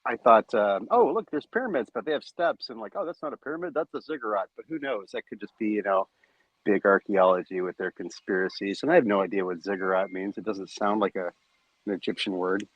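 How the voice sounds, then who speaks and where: A man in a casual podcast discussion, explaining thoughtfully with pauses. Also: on the low side, no room sound, dull audio.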